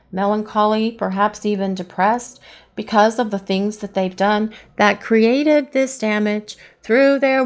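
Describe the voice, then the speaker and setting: A woman giving a chatty, earnest tarot love reading, her voice hoarse and partly lost to allergies. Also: medium pitch, a little mellow. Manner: earnest, chatty, pleading questions to the cards. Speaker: tarot reader.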